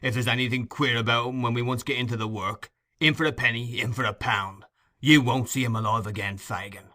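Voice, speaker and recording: A brutish storybook robber, acted out by a male narrator. He speaks at a middle pitch with a sharp, forceful tone. His manner is surly and threatening. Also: fairly even intonation, a little fast, close to an everyday voice. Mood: menacing.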